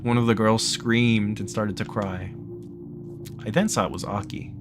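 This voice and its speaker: A man with a low voice reads a visual novel aloud as a let's player. Faint music plays behind him. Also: fairly even intonation, measured pace. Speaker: let's play reader.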